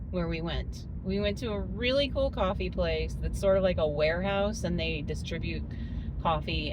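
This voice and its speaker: A middle-aged woman chatting informally to camera as a family vlogger, in a casual, talkative way. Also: on the low side. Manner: informal, chatty, laughs often. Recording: steady car and road noise.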